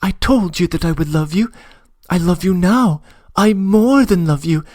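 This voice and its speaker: A man's voice: sits high, animated, measured pace, darkish tone, no echo, clean studio sound, pitched above the performer's own voice. A man reading aloud, voicing a young woman's tearful, loving letter with an imploring manner. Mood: pleading. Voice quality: emotional, imploring. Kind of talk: audiobook reading.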